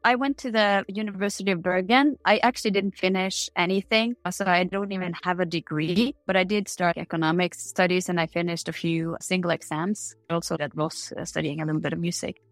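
Chatty Norwegian woman with a low voice. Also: steady tone, brisk, hardly any pauses, no echo. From Norway. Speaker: interview guest. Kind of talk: casual interview answers.

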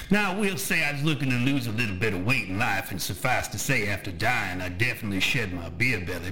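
A man's voice: fairly low pitch, sharp tone, low-quality recording. A man voicing a chatty, menacing undead rotting monster with a folksy Southern drawl, musing at length in a tabletop game. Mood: wistful, ominous. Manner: folksy, drawn-out musing monologue.

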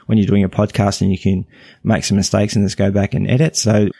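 Male host, rambling casual interviewer, gravelly and a bit low. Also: level tone, fairly quick, dark tone, no echo. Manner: rambling, hesitant, with many restarts. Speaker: podcast host.

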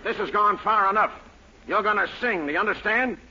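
A barking tough police captain. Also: male voice, high voice, brisk, a little hiss or hum. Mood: bullying. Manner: barking, bullying. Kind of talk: 1940s radio comedy acting. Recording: dull old radio recording with loud audience.

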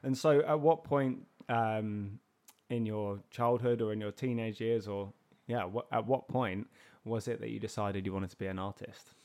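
A man's voice: fairly low pitch, moderate pace, darkish tone. Friendly male podcast host. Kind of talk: scripted intro, then casual interview questions.

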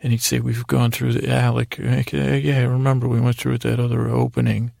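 A man with a gravelly, creaky voice hosting a podcast, rambling through an improvised bedtime monologue in a halting, stammering way that often interrupts itself. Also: medium pitch, monotone, a little mellow, no room sound, studio quality. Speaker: podcast host.

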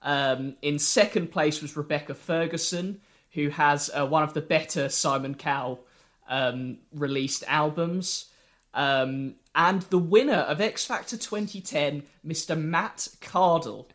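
Wry podcast host, slightly high voice. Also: male voice, unhurried, a bit bright. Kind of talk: casual podcast chat.

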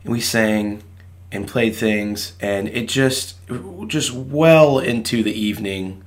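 A young man from Virginia co-hosting a podcast in casual chat, his voice low and rough after a late night, rambling and laughing often. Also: takes it slowly. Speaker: podcast co-host.

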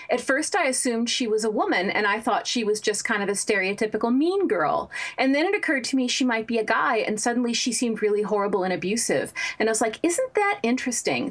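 Thoughtful woman novelist. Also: medium pitch. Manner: thoughtful, measured, with fillers. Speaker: novelist guest. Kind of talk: interview conversation.

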